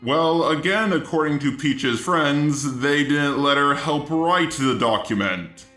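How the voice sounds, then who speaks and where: A man's voice: on the high side, slow pace, pushed and bright, echoey room. A synthetic male robot voice reading scripted video commentary as the host of a channel. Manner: mechanical, evenly scripted delivery. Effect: text-to-speech robot voice. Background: background music.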